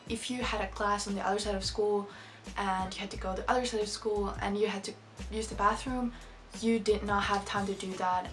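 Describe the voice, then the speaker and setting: Young Finnish woman vlogging over music. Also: medium pitch. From Finland. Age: late teens. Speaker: vlogger, exchange student. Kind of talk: casual explanatory talk to camera.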